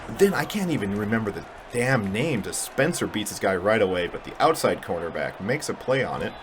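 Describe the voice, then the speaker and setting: Low-voiced man commentating a video game. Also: big pitch swings, a little fast, background noise. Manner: mumbly, self-deprecating. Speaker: gaming channel host. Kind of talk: casual let's-play commentary.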